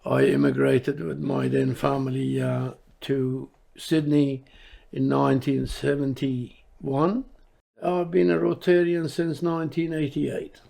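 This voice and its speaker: A husky older man from Iran gives a reflective interview. His voice is full of creak and rasp. He picks his way through sentences haltingly, searching for the next word. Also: mid-range voice, little pitch movement, warm tone. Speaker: service club member interviewed.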